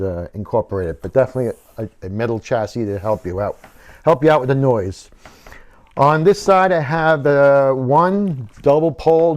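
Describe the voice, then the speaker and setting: This is a middle-aged man with a voice of average pitch. He talks like a hobbyist showing a build, in an informal technical walkthrough. He explains step by step and rephrases himself along the way. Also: darkish tone. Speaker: radio hobbyist presenting a DIY build.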